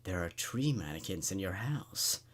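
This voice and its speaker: A man's voice: low-pitched, sing-song, normal speed, breathy edge, pitched below the performer's own voice, full character voice. A man voicing a sinister mannequin that talks in a slangy, cajoling, conspiratorial way for a horror story.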